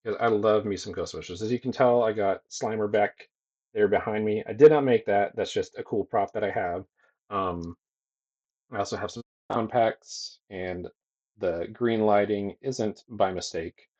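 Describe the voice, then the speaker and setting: Young male streamer, soothing and low-pitched, relaxed chat with viewers. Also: a little slow, dull audio. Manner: easygoing, reads chat aloud, frequent ums. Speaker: streamer and digital sculptor. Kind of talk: casual livestream chat with viewers. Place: home streaming room with green lighting.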